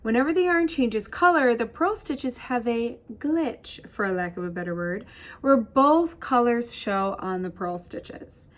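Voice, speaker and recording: A woman in her thirties hosting a casual knitting podcast from her home craft studio, chatty and bubbly, with a husky voice. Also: a little high, lively intonation, a little slow, fairly bright tone. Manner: bubbly, chatty, laughs often, gestures while talking. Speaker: host. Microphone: webcam framing at desk.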